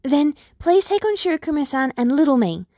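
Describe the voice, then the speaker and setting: Woman reading as an earnest, higher-pitched student girl. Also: sing-song, fairly quick, mellow, dry recording, clean studio sound. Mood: pleading. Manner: earnest, polite, persuasive. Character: earnest, eager older girl, hero student.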